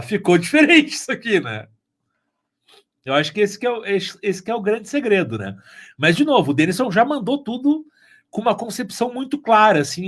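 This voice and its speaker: A man with a southern Brazilian accent, talking casually in an interview and telling things like a storyteller who acts out remarks. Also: sits high. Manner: animated storyteller, acting out quoted remarks. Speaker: sound engineer and mixer on an audio drama.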